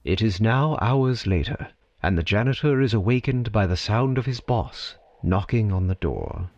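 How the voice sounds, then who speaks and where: A man narrating a scripted story theatrically, with dramatic, deliberate phrasing and pauses. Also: fairly low pitch, animated, a little mellow, highs cut off.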